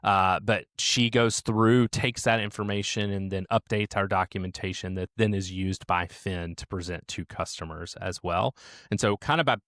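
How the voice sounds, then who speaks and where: A man, a support team leader, answering interview questions in a measured, explanatory way on a remote call. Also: sits low, fairly even intonation, fairly bright tone, dry recording, clean studio sound. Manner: measured, explanatory, slows down on key points.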